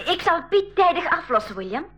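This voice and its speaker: High-voiced curious young girl. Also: sing-song, brisk, soft background music, full character voice. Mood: eager. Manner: questioning. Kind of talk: 1980s school radio drama acting. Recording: dull recording, loud vehicle-like background noise.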